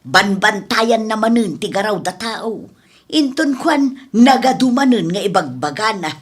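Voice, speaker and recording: A woman's voice: mid-range voice, animated, unhurried, breathy edge. A woman playing a talkative mother who runs a small eatery, in conversational radio drama style. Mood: suspicious, fretting. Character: talkative mother running a small eatery, fretting over rivals.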